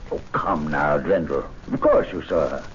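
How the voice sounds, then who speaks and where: An actor playing an excitable, domineering gentleman in a radio drama, theatrical and impassioned. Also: rumbling low, moderate pace. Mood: feverish rage. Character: excitable, domineering gentleman, obsessively rapturous and quick-tempered. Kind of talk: old-time radio drama acting. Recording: dull hissy vintage radio recording.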